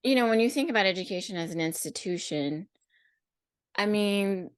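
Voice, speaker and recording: A woman hosting a talk with a teacher, speaking in a thoughtful, reflective, slightly halting way. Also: medium pitch, animated, smooth. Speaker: host, teacher. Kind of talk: casual conversation.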